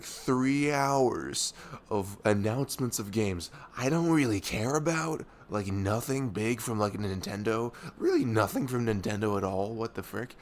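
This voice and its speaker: Man playing a low-voiced, rambling nerdy best friend. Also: slight background noise. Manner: rambling, hesitant, self-deprecating, chuckling, informal. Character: nerdy male best friend, young, affectionate, excitable gamer. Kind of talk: intimate ASMR roleplay, casual rambling monologue.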